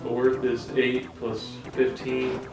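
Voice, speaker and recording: A man's voice: mid-range voice, completely flat delivery, slow. A man chatting casually, picked up by a hidden camera. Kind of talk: casual chat. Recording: hidden camera, very echoey, distant, loud music.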